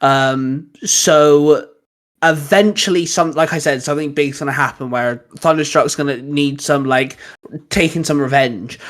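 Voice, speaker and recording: Casual male podcast host, slightly high voice. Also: a little slow, a bit bright. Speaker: podcast host and audio drama writer. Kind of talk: casual chat.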